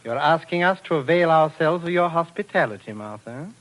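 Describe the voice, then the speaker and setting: Suavely sinister, domineering husband. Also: male voice, fairly high pitch, expressive, brisk, a bit bright, rough recording. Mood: smugly courteous. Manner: suavely polite, formal, coaxing. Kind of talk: 1940s radio drama acting.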